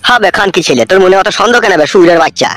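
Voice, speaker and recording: A man's voice: sits high, expressive, racing, a bit bright, no echo, faint music behind. A man voicing an irritable, foul-mouthed older cartoon father with a blunt, scolding manner. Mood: indignant. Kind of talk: crude comedy cartoon dubbing.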